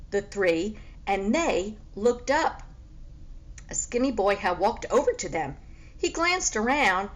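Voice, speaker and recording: A woman narrates a children's story in the manner of a teacher reading to pupils. Her delivery is unhurried, with animated, widely varied intonation. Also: medium pitch, low-quality recording.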